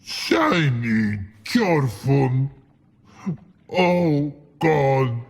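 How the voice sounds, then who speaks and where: An actor playing a slow-witted, childlike, sorrowful troll who talks in broken, simple fragments in a video game. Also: male voice, mid-range voice, expressive, gravelly, in a large hall, full character voice. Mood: dim, sorry. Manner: broken, simple speech in short halting fragments.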